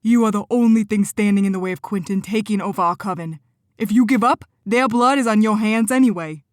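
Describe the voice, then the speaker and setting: A woman's voice: mid-range voice, slow, no room sound, studio quality. Woman doing a heated, furious young man. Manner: loud, urgent, heated. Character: fiercely loyal young male shifter, angry.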